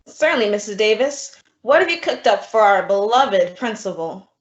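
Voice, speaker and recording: A woman acting a dry, quick-witted schoolteacher in an old-time radio comedy. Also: medium pitch, animated, close to an everyday voice. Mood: dry, wry. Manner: dry, sardonic. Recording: echoey room, dull audio.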